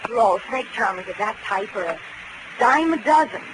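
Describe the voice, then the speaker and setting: A woman's voice: mid-range voice, animated, moderate pace, natural voice. A woman acting a prim, fussy, adoring and jealous secretary in a 1940s radio drama. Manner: fussy, earnest. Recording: noisy vintage 1940s radio broadcast.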